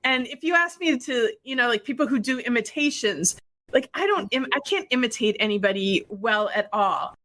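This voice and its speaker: A woman from Wisconsin co-hosting a podcast, chatting casually and telling stories in a quick, animated way with acted-out quotes. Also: fairly high pitch. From Wisconsin, USA. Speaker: podcast co-host.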